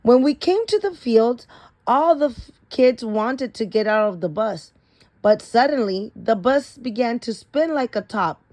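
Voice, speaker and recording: A woman's voice: a little high, expressive, unhurried, a little mellow. A woman reading a children's picture book aloud expressively, with the occasional stumble over a word. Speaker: storybook reader.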